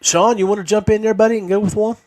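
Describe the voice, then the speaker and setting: American guy hosting a podcast, voice on the higher side, pretty dry sound, super casual. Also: steady tone, fast, darkish tone, dry recording. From United States, West Virginia. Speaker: podcast host.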